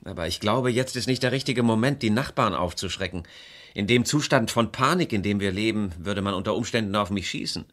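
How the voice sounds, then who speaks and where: An actor playing a worried middle-aged estate agent and estranged husband in a radio crime play, talking in a confiding, hesitant way. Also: male voice, on the low side, brisk, fairly bright tone, lightly acted. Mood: troubled.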